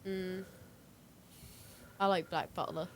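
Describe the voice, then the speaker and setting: A woman's voice: lowish voice, fairly even intonation, takes it slowly, slight background noise. A woman chatting casually and guessing, with a tentative, giggly way of talking. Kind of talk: casual chat, guessing at pictures.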